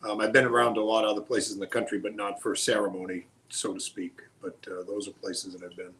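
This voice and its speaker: Man, fairly low voice, casual guest, short halting phrases. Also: little pitch movement, a bit bright, slight background noise, low-quality recording. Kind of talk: casual conversation.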